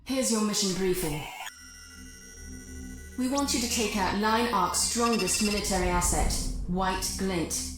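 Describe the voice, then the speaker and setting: An actress playing a formal, matter-of-fact military client in a video game, handing out an assignment. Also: female voice, medium pitch, measured pace, close to an everyday voice. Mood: formal, serious. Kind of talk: scripted military mission briefing, video game voice acting. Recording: very echoey, music behind.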